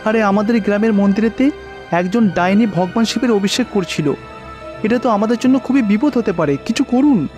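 An earnest village chief in a cartoon tale, acted by a man. He sounds alarmed, in a high male voice with faint music behind. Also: brisk, darkish tone, natural voice.

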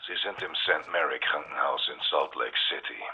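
An actor voicing a weary, dying scientist in a game dub, resigned and bitter, speaking on a recorded message. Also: male voice, very deep voice, normal speed, husky, exaggerated voice. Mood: bitter resignation. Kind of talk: dubbed video game acting. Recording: dull, noisy handheld recorder playback.

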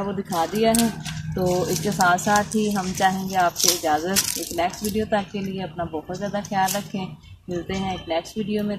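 Chatty Punjabi woman in a noisy field. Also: medium pitch. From Punjab, Pakistan. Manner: chatty, explanatory, many filler phrases. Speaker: village vlogger. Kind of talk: casual vlog narration. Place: outdoors in a cotton field. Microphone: handheld phone camera. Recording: noisy outdoor phone recording.

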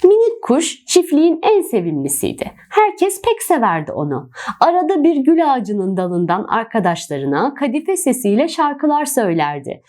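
A woman narrating a children's storybook in an expressive, dramatic storytelling manner. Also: high voice, normal speed.

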